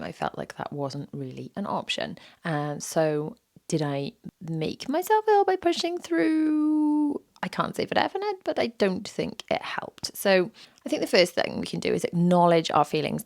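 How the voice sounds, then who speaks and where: A woman in her thirties talks through her podcast in a low, gravelly voice, roughened by a sore throat. She is candid and a little self-deprecating, and she often repeats a phrase for emphasis. The sound is close and dry, with no room echo. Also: sing-song, measured pace, darkish tone. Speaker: podcast host. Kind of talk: reflective solo podcast talk.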